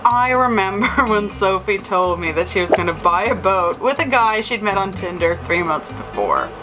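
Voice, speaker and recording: A woman, a friend of the host, tells a story in a lively, amused way. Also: mid-range voice, normal speed, music in the background, rough recording. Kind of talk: casual recorded message.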